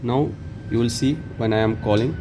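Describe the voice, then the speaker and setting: A man presenting an unscripted step-by-step tech tutorial in halting, short phrases with non-native phrasing. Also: medium pitch, fairly even intonation, background noise.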